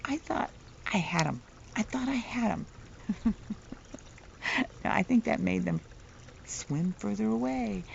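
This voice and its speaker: Soft-voiced middle-aged woman, playful chatty vlogger, deep gravelly fry, dull lo-fi sound. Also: a little hiss or hum. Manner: playful, chatty, laughs at her own jokes. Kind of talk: casual storytelling vlog. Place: outdoors, near the water. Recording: dull lo-fi outdoor recording.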